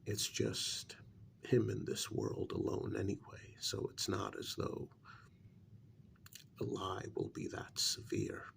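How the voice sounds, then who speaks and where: A man acts out a gentle, reasoning male confidant in a vampire ASMR role-play. The voice is soft and fairly low, full of creaky fry, and often sinks into a whisper. He speaks very slowly and tentatively, weighing each phrase. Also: little pitch movement, a little hiss or hum, heavily acted. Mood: pensive, reassuring. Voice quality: soft, breathy voice dropping into whispers. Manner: hesitant, measured, gently reasoning.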